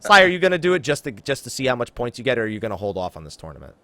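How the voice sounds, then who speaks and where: A man's voice: medium pitch, very animated, very fast, bright, forceful tone, no room sound. A man hosting a gaming podcast in casual conversation, teasing and bantering with his co-host.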